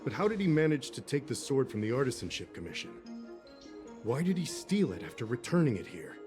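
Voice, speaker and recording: A man's voice: mid-range voice, sing-song, brisk, over music, natural voice. A man voicing a puzzled, hesitant official who reasons methodically in a video game.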